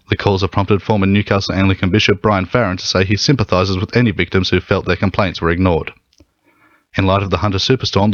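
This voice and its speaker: A man's voice: sits low, dry recording, muffled recording. A man with an Australian accent reading scripted radio news.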